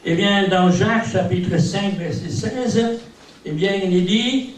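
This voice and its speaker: An older man whose first language is English preaches a sermon, his voice sitting a little high. He rambles from point to point with small asides and gentle self-mockery. He is heard from a distance, the sound washing around a very echoey hall over a faint hiss. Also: slow. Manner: rambling, peppered with "eh bien" and "hein". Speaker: visiting preacher.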